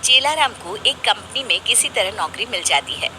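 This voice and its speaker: A woman tells a children's moral story. Her voice is bright and fairly high, with animated intonation. She narrates for a cartoon. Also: moderate pace, a little hiss or hum.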